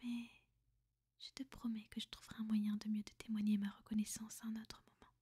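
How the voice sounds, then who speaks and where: Woman acting as an ancient female vampire, high breathy voice, soft and formal, affectionate, protective, half-whispered ASMR roleplay. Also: completely flat delivery. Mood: grateful, affectionate. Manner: soft-spoken, formal, measured, drifting into whispers. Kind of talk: French ASMR girlfriend roleplay.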